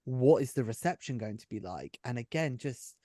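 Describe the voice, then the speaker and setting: A man's voice: medium pitch, expressive, normal speed, dark tone, no echo. Guy showrunner chatting about his audio drama, gushy and emphatic, repeats himself, dry recording. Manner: effusive, emphatic, often repeats phrases. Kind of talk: casual behind-the-scenes chat.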